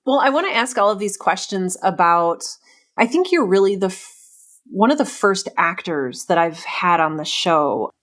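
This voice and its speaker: A woman's voice: medium pitch, expressive, moderate pace, fairly bright tone. A woman hosting a casual podcast interview, effusive and reflective, often thinking aloud as she talks. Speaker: podcast host interviewing a writer.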